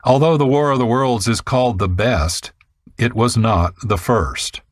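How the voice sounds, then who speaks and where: A man hosting a radio programme with scripted documentary narration in measured, deliberate phrases. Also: medium pitch, dry recording, clean studio sound. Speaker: radio host and producer.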